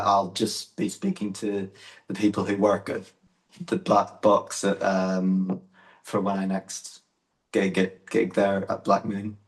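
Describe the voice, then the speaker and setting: Hesitant Northern Irish man, slow and flat. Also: deep voice, echoey room. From Northern Ireland. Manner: hesitant, halting, with many fillers and restarts. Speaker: musician guest. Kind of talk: casual interview.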